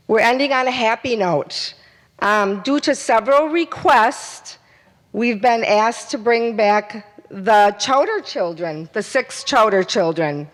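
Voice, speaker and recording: A woman's voice: on the high side, long reverb tail. A woman emceeing a community stage event, talking through a voice she says she is losing. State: losing her voice. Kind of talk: stage announcements.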